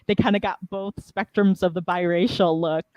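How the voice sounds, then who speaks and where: A woman author, a guest in an online interview, chatting in a relaxed, casual way. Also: lowish voice, moderate pace, no echo, lo-fi sound.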